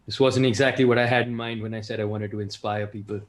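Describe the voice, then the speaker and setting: A man's voice: on the low side, steady tone, fast, close to an everyday voice. A man playing a brooding, self-serious vigilante billionaire with a terse, grave delivery in a comic table read. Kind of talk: online comedy table read.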